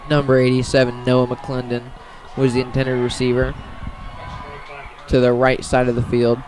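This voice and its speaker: A man doing live radio play-by-play of a high school football game in clipped, descriptive phrases. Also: completely flat delivery, normal speed, low-quality recording. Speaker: play-by-play sports commentator.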